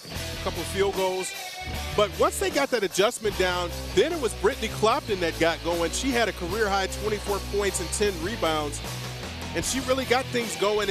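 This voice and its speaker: A middle-aged man analyzing basketball highlights on a headset mic in a studio, often restarting and repeating words as he explains. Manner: halting, repeats words while explaining plays. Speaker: color analyst. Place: studio set. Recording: music bed behind.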